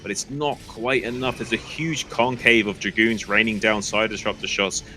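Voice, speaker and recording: Bright-voiced male play-by-play caster. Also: on the low side, fairly even intonation, moderate pace, a little hiss or hum. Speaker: esports caster. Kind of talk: play-by-play commentary.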